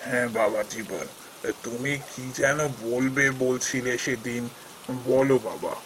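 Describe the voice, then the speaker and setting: An actor playing an elderly, calm and firm village landlord in a fairy-tale cartoon, speaking in a measured, fatherly way. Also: medium pitch, a little hiss or hum, close to an everyday voice, rough recording.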